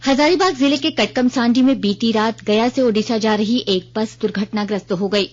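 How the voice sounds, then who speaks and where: A woman's voice: fairly high pitch, moderate pace. A woman reads a formal scripted regional news bulletin on the radio as the newsreader. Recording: dull lo-fi radio broadcast.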